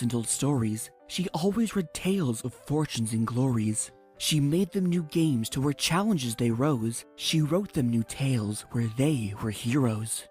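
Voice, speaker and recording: A woman tells a storybook tale as narrator. Her delivery is lively and expressive, with music playing underneath. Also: extremely low pitch. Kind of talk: scripted storybook narration in rhyme.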